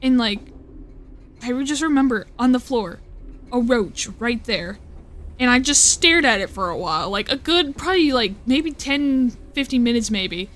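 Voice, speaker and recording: A woman's voice: a little high, lively intonation, moderate pace, a bit bright. A young woman streaming live, chatting casually and rambling, often cutting herself off and swearing. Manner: rambling, swearing, with self-interruptions and laughs.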